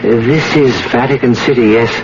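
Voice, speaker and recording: A helpful young male student voiced by an actor speaks courteously and a little hesitantly. His voice sits at a medium pitch, in 1970s radio drama style. The broadcast is dull, with heavy noise. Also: normal speed, close to an everyday voice. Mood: polite, hesitant. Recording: muffled, very noisy vintage radio broadcast.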